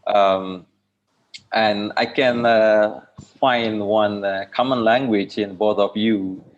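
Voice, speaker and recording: A man's voice: on the low side, steady tone, takes it slowly. A man with an Indonesian accent hosting an online discussion, tentatively phrasing and rephrasing his questions over a dull video call line. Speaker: host.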